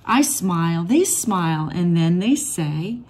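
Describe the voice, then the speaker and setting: A woman reading a rhyming children's picture book aloud in an expressive, measured storytelling manner. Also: on the low side. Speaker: read-aloud storybook reader.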